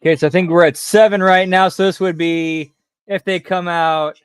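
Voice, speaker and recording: A man's voice: high-pitched, fairly even intonation, moderate pace, smooth, no room sound. A man hosting a live wrestling watch-along, chatting casually and tacking "pal" onto his remarks. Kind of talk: casual live commentary over a broadcast.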